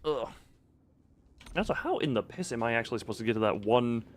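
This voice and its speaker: A man's voice: medium pitch, lively intonation, a little fast. A man doing casual live gameplay commentary, thinking aloud in a playful, muttering way and repeating phrases to himself. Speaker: game streamer.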